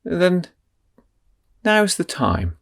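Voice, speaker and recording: A man leading a guided meditation in a slow, soothing, drawn-out way. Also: sits high, wildly swooping pitch, creaky.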